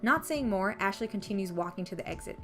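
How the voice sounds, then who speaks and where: A woman's voice: mid-range voice, lively intonation, fast. Woman reading a story over music. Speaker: game playthrough narrator. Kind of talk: reading visual novel narration aloud.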